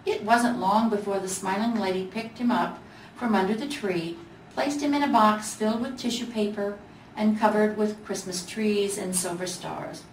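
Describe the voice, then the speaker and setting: A woman's voice: mid-range voice, moderate pace. A woman with an American accent narrating a children's storybook as a volunteer reader. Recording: echoey room, distant mic, faint noise.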